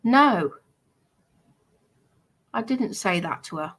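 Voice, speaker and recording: A woman's voice: medium pitch, animated, takes it slowly, a bit bright. A woman in casual, argumentative livestream chat, blunt, defensive and emphatic in the way she talks.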